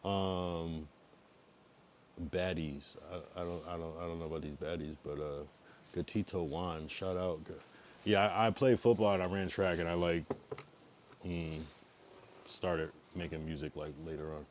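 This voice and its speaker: A man's voice: deep voice, steady tone, measured pace, long pauses, a little hiss or hum. A man in his thirties from the US East Coast, chatting casually and laid-back with viewers on a livestream, trailing off into mumbles. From East Coast US, Massachusetts and Connecticut. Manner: laid-back, drifts loud then mumbly.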